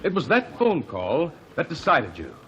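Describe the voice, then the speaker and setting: A cool courtroom sleuth. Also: male voice, fairly high pitch, huge pitch swings, a bit bright, exaggerated voice. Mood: accusing. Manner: measured, pressing accusations. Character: calm male courtroom investigator, cutting and methodical. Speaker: lead detective. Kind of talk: 1940s radio acting. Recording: dull old radio broadcast with faint hiss.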